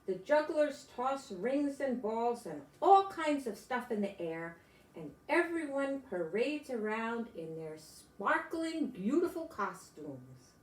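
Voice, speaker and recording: An older grandmother reads a children's story aloud. Her delivery is slow and very animated, with exclamations aimed at young listeners. The room around her sounds echoey. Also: a little high. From United States. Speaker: grandmother reading picture books aloud. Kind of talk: children's storybook read-aloud with chatty asides.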